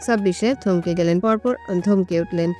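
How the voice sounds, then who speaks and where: A woman's voice: fairly low pitch, fairly quick, a little mellow. Woman narrating a romantic story over music.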